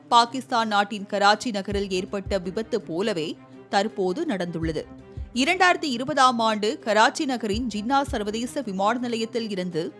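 A woman narrating a scripted news report in a formal, measured way. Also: fairly high pitch, fairly bright tone. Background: music bed.

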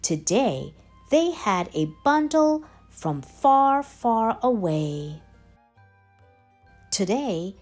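A woman reading a children's story aloud in a deliberate, expressive way with dramatic pauses. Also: a little high. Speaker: storybook reader, channel host. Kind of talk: children's read-aloud, scripted narration. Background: background music.

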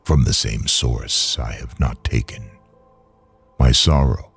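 A man with a gravelly, raspy voice reciting solemn poetry dramatically, brooding, in halting phrases with long pauses. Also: extremely low pitch, fairly even intonation, fairly bright tone, soft background music. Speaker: poetry reader.

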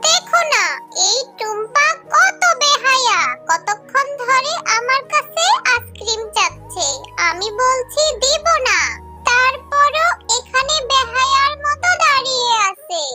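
A woman voicing a whiny young girl in a children's cartoon, with drawn-out delivery. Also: very high-pitched, lively intonation, exaggerated voice. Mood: haughty. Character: pleading poor young girl and her haughty playmate. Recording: music behind the voice.

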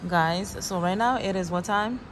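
A young woman from Guinea-Bissau chatting playfully to her viewers on a travel vlog, her voice a bit hoarse. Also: mid-range voice, normal speed, noisy background. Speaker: travel vlogger. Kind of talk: casual vlog chat to camera. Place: hotel room and lobby at night. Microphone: handheld phone camera.

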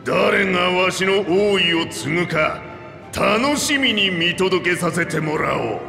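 An old reptilian monarch in a fantasy game. The voice is gravelly and swings widely in pitch, grand and commanding with a playful streak. It rings through a long, echoing hall reverb. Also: male voice, lively intonation, slow pace, bright, forceful tone, heavily acted. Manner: grandiose, archaic elder speech. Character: boisterous old two-headed reptilian king, playful and commanding. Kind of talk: theatrical video game voice acting. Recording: echoey hall reverb, music behind.

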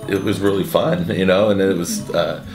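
A man in his forties, seated indoors by a window, answering interview questions in a halting way, full of restarts and uhs. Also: deep voice, fairly even intonation, a little mellow, reverberant. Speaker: event co-founder. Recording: music bed underneath.

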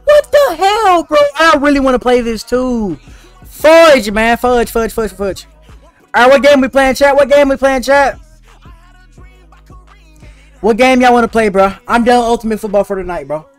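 A man's voice: very high voice, lively intonation, normal speed, long pauses, clean tone, no echo, faint music behind. A teenage boy streaming a game, chatting casually and slangily with his viewers. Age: teens. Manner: slangy and laid-back, talking to chat. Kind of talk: casual live-stream chat while gaming.

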